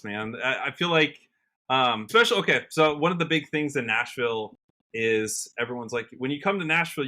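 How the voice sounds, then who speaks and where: Casting: young American man, bright clear-cut tone, upbeat, conversational podcast guest. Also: medium pitch. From Washington state, United States. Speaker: podcast guest, country musician. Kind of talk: casual interview chat.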